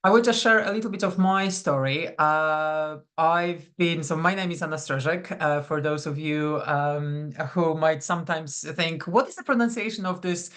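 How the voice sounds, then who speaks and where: A woman's voice: deep voice, normal speed, hardly any pauses, fairly bright tone. A woman from Poland, a coach leading a live online masterclass in a chatty, welcoming way over a video call. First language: Polish. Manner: welcoming, chatty, greets attendees by name. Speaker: psychonutrition coach.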